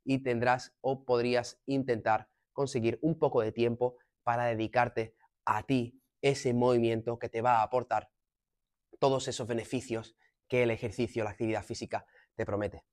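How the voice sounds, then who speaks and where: Guy w spain accent, 30s, normal pitch, fitness coach explaining stuff to camera, kinda teachy and measured. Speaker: fitness coach presenting a lesson. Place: gym room, indoors. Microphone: clip-on lapel mic.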